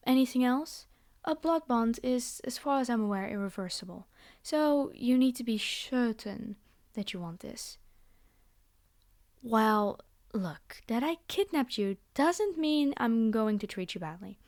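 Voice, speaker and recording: Vampire captor female performer, high-pitched, bossy yet coaxing and condescending, scripted ASMR roleplay addressed to the listener. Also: lively intonation, unhurried, darkish tone. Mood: commanding, coaxing. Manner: bargaining, condescending, reassuring, speaking directly to the listener. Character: commanding vampire girl, teasing, coaxing captor of a human pet.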